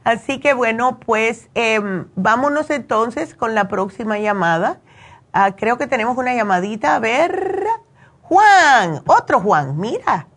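High-voiced woman, chatty radio host. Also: expressive, slow, a bit bright. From born in Cuba, raised in the United States. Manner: chatty, frequently seeks agreement with tag questions. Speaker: radio call-in show host. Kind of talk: conversational advice talk with personal anecdotes.

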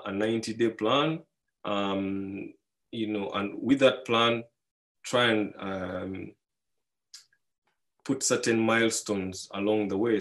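A man giving money advice in a relaxed, rambling way, with fillers and restarts, lifting his voice for key points. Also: fairly low pitch. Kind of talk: casual advice talk.

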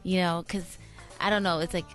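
A woman with a somewhat low voice co-hosts a relaxed interview, circling her ideas with loose fillers before she gets to the question. Her delivery is casual and conversational. Faint music plays in the background. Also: normal speed. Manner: loose, rambling, with fillers like "I don't know, it's like". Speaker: co-host.

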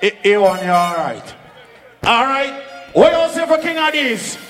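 A man acting as clash judge and MC, briskly calling for crowd votes between rounds. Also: extremely high pitch, sing-song, fairly bright tone, faint music behind. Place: live dance hall. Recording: live hall reverb.

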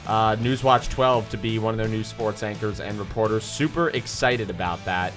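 A young man talking loudly and energetically as a student sports reporter on a casual podcast. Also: on the low side, normal speed, faint music behind. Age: young adult. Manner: loud, energetic talker.

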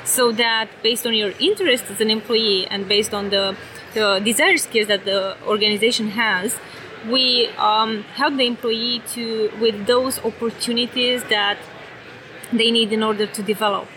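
Bright-voiced Romanian woman. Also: on the high side, steady tone, normal speed, slight background noise, low-quality recording. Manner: earnest, repeats phrases, non-native phrasing. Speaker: company executive at a conference booth. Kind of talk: conference floor interview. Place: busy trade show floor.

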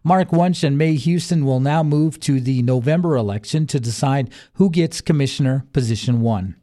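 A man's voice: mid-range voice, normal speed, mellow. A man reading a scripted local news and sports bulletin as the newsreader. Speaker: local radio news reader.

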